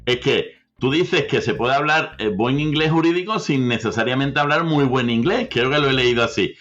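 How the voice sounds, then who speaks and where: A man's voice: mid-range voice, moderate pace, fairly bright tone, highs cut off. A man hosting a lawyers' podcast, interviewing a guest with enthusiasm and hesitant restarts.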